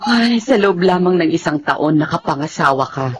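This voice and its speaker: An actress playing a chatty, teasing, confident friend in a Filipino radio drama. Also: female voice, fairly low pitch, lively intonation, normal speed, background noise, lightly acted. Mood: teasing, cheerful.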